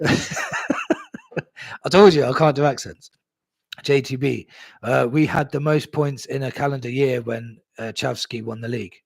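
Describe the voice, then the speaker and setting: A man's voice: mid-range voice, measured pace, gravelly, pauses often, husky, no echo. A young man hosting a live stream, chatting casually about his club, reading out viewer comments and swearing easily. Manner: laid-back, chatty, swears, laughs at himself.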